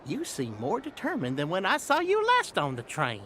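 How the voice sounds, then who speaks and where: Very animated, grandiose clockwork character. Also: female voice, on the low side, normal speed, a little hiss or hum. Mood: earnest, uplifting. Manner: grandiose, earnest. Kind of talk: video game voice acting. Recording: music behind the voice.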